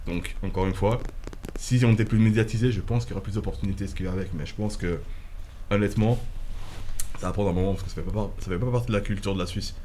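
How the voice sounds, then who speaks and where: A man's voice: fairly low pitch, dark tone, slight background noise. A man from Switzerland, a photographer chatting as a podcast guest in a loose, restarting way. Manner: fast, loose chat with many restarts and fillers. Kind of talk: casual conversation.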